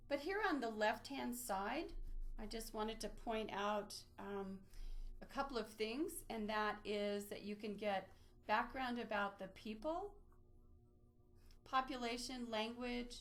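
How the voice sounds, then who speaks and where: A woman presenting an instructional webinar, explaining step by step as she walks listeners through a screen demonstration. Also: fairly high pitch. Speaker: webinar presenter, library trainer. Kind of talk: instructional screen-share walkthrough, semi-scripted.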